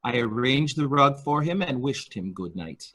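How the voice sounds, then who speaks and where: A man's voice: medium pitch, animated, normal speed, pushed and bright. A man playing a deferential male nurse-attendant in a murder mystery reading, speaking formally, hesitantly and obsequiously. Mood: nervously formal. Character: deferential male nurse-attendant to a rich invalid, primly servile.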